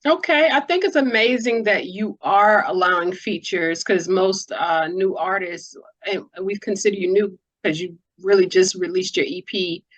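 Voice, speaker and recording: A woman's voice: medium pitch, moderate pace, few pauses, muffled recording. A woman hosting a casual interview, asking questions in a playful, informal way. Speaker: interviewer, host.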